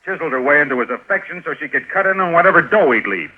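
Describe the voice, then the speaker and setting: An actor playing a hot-headed, greedy nephew with a belligerent, accusing manner in a 1950s radio drama. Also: male voice, on the high side, very animated, rapid-fire, breathy edge. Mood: angry. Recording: narrow, muffled old radio broadcast.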